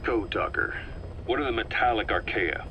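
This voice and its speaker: A man's voice: fairly low pitch, animated, moderate pace, noisy background. An actor playing a tough, suspicious military man who questions bluntly in a video game cutscene. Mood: inquisitive.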